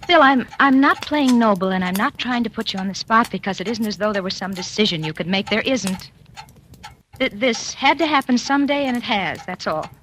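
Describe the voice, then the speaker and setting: An actress playing a resolute young office assistant who gently ends an affair, in a 1940s radio drama. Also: female voice, medium pitch, animated, fairly quick, natural voice. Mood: resigned. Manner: soft, firm, tender. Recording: old radio broadcast with faint hiss.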